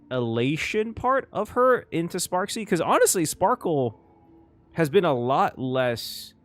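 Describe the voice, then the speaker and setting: A man giving casual, informal reaction commentary to a game, animated and prone to swearing. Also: medium pitch.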